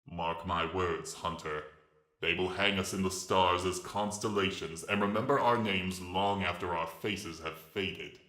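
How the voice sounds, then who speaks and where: A huge reptilian villain, speaks with mocking courtesy and grand self-importance in a sci-fi audio drama. The voice is very low, with a forceful edge, in an echoing recording. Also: male voice, steady tone, a little slow. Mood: grandiose. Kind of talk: dramatic reading of a sci-fi story. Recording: echoing, reverberant voice track.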